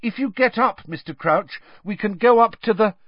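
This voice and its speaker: An anxious teenage schoolboy, played by a male reader, loud and insistent. The voice sits well above the reader's own, with a husky grain. The sound is dry and a bit dull. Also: sits high, big pitch swings, unhurried, no echo, pitched above the performer's own voice, exaggerated voice. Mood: urgent. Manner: loud, clear, urgent. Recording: dull, slightly lo-fi recording.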